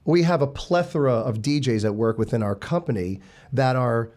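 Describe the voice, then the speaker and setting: A man's voice: mid-range voice, normal speed, a little mellow. A man who runs a DJ company, speaking casually on a podcast with brief, playful remarks.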